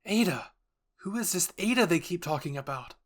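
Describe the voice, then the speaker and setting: A man's voice: high-pitched, animated, moderate pace, pitched above the performer's own voice. Man voicing a bewildered, distressed young protagonist. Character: anxious, bewildered young protagonist thinking aloud in shock.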